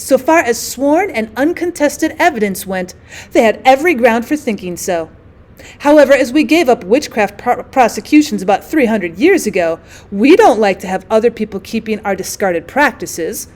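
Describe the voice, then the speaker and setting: A woman's voice: fairly high pitch, lively intonation, normal speed, darkish tone, natural voice. A woman voicing a genteel, indignant, drily witty lady who tells her mishaps in a wordy, emphatic way for a story reading. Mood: drily haughty.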